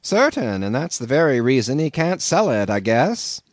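A man's voice: mid-range voice, sing-song, normal speed, dry recording, clean studio sound. A man voicing a folksy, teasing old male innkeeper with a rustic drawl, in an audiobook reading.

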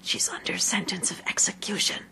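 Timid male storybook rabbit, played by a female narrator: high, fluttery, anxious, breathy and hushed. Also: huge pitch swings, normal speed. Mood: frightened, hushed. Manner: breathy whispering, nervous and hushed.

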